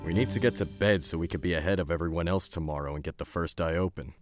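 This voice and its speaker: A man voicing Clay, a gruff young fighter who talks in a blunt, bossy, scolding way. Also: sits low, fast talker, dry recording, faint music behind, lightly acted.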